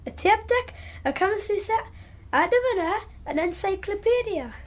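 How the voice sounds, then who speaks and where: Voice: very high-pitched, animated, normal speed. A performer playing an eager, naive working-class schoolboy in a radio drama, with a Geordie accent and an earnest, questioning way of talking. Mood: puzzled.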